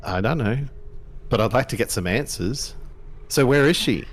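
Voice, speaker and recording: A man's voice: medium pitch, animated, moderate pace, lightly acted. Bitter, sarcastic young street survivor, played by a man. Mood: aggrieved. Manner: sarcastic, aggrieved. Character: bitter, sarcastic nineteen-year-old male street survivor. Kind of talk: improvised tabletop role-play.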